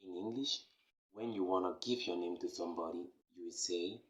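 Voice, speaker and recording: A man from Cameroon hosting a beginner French lesson, repeating key phrases slowly and emphatically. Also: low voice, lo-fi sound. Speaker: French teacher, channel host. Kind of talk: slow, repetitive beginner language lesson.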